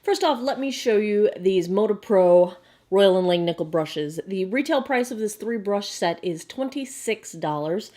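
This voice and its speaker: A young woman with a hoarse, croaky voice, chatting casually as she unboxes beauty products to camera. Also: mid-range voice, lively intonation, mellow. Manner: chatty, bubbly, quick asides. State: hoarse, frog in throat. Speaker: beauty vlogger.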